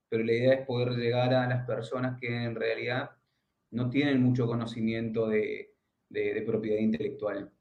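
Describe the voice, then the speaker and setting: A man from Argentina with a northeastern Argentine accent, giving a lecture by video call as a lawyer, pausing often with fillers and restarting sentences. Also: medium pitch, darkish tone. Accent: northeastern Argentine Spanish, from the Paraguay border. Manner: hesitant, many "eh" fillers and restarts. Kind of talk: virtual conference talk. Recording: echoey room, dull lo-fi sound.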